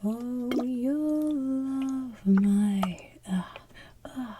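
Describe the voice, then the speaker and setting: Woman singing as slow, gloating sludge monster villain. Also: on the high side, noisy background. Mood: gloating, sinister. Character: gross evil male pollution sludge monster, singing villain song. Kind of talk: soft ASMR singing.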